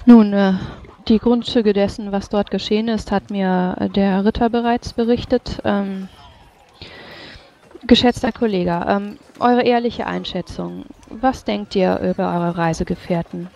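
A woman's voice: medium pitch, fairly even intonation, measured pace, vocal fry, dark tone, slight background noise. A woman playing a reserved, authoritative female mage with formal, curt, hesitant speech.

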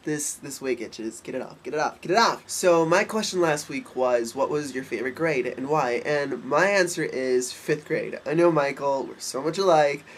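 A young man with a stuffed, nasal voice, talking in a campy, cheeky way to his webcam in a bedroom. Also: fairly high pitch. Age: young adult. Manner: campy, cheeky, quick asides. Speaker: vlogger. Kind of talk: casual webcam vlog. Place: bedroom, indoors. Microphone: webcam at desk.

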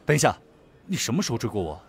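A man's voice: mid-range voice, sing-song, racing. A man playing a self-assured male student in an audio drama, teasing and coaxing the one who likes him. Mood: puzzled. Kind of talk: amateur Chinese audio drama acting.

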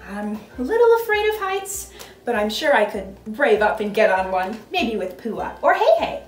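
High, very animated woman reading a storybook. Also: normal speed, soft background music. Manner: expressive, instructive, addressing small children. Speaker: costumed storytime host reading as a princess. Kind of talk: animated children's storybook narration with questions to viewers. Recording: echoey room.

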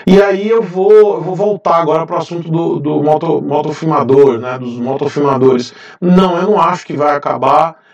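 A man's voice: a little high, normal speed, hardly any pauses, a little mellow, roomy sound, far from the mic, dull audio. A man in his thirties from Brazil, hosting a casual motorcycle vlog, rambling with frequent fillers and restarts. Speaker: motovlogger, channel host. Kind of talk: casual talking-head vlog. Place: indoor garage with motorcycles. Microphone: lapel mic.

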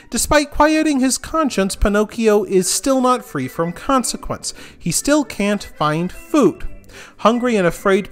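A man narrating a scripted history of a fairy tale in an expressive storytelling manner. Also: high voice. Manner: expressive, lively storytelling delivery. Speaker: host.

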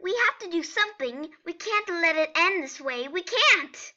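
A muffled, lo-fi comic dub of an earnest skeleton brother acted by a woman. The voice is high and very bright, with dramatic, pleading, emphatic delivery that is full of hope. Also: lively intonation, moderate pace, exaggerated voice. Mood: desperate. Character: earnest, excitable skeleton brother, theatrical and hopeful. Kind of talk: amateur comic dub acting.

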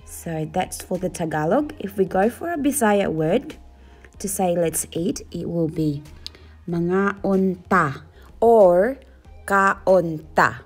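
A woman, chatting casually in a home kitchen while cooking and eating, talking in a relaxed, rambling way with many ums. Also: fairly low pitch, slow pace, faint music behind. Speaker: food vlogger. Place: home kitchen and dining table indoors. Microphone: phone on a tripod.

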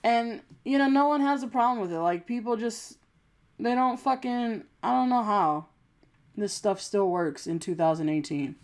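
Young-sounding, high-voiced woman ranting. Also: lively intonation, normal speed, darkish tone. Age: young adult. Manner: blunt, emphatic, repetitive, swears often. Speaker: YouTube commentator. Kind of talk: unscripted rant, commentary.

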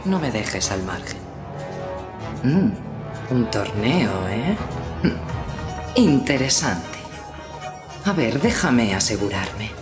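Sadistic young villainess, very low swooping voice, mocking drawl, Castilian Spanish, anime fighting game. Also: female voice, sing-song, drawn-out words, pauses often, heavily acted. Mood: bored, menacing. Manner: taunting, drawling, punctuated by chuckles and hums. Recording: music behind the voice. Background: music.

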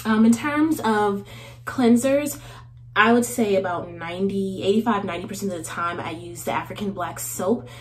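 A woman's voice: medium pitch, normal speed, slight background noise. Chatty woman vlogger with a cold-nasal voice. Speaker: beauty vlogger host. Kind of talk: casual get-ready-with-me chat. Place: small indoor bathroom.

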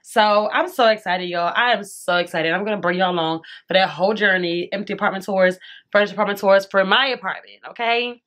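A woman's voice: medium pitch, a little fast, a bit bright. A young woman vlogging casually to camera from her bedroom, chatty and eating as she talks, a little congested. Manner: chatty, addresses viewers as y'all, eats while talking. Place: bedroom at home.

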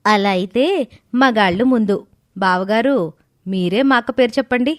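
A woman voicing a sharp-witted young sister in a cartoon family drama, assured and teasing. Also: fairly high pitch, unhurried, no room sound, studio quality, lightly acted. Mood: bold.

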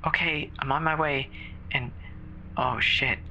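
A performer voicing a terrified woman caller alone in a snowbound house, halting and stammering with fear in a horror audio drama. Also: mid-range voice, steady tone, sharp tone, dull audio, a little hiss or hum. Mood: frightened. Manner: halting, fearful, breathy stammering. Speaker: emergency caller.

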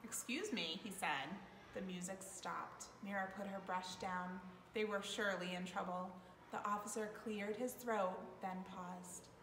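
A woman reads a picture book aloud in a mid-pitched voice. The room has long echo and faint noise. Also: fairly even intonation, measured pace, fairly bright tone. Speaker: school staff member reading aloud.

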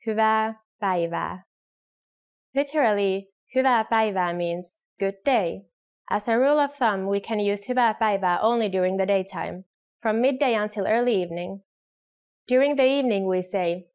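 A young woman reads a scripted lesson straight to camera. She says each phrase slowly and clearly, as a model for learners. It was recorded on a studio mic. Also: medium pitch. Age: young adult. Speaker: language teacher.

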